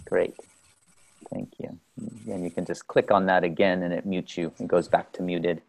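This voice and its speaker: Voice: low voice, little pitch movement, normal speed, gravelly. A host patiently guiding people through introductions on a video call in a casual, instructive way. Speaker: host of an online group call.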